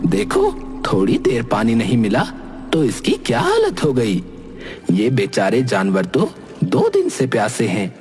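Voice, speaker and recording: A man's voice: mid-range voice, huge pitch swings, a little slow, darkish tone. A man voicing an indignant cartoon ghost who lectures assertively in defence of forest animals. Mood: reproachful. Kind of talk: Hindi cartoon voice acting. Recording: long hall reverb, faint music behind.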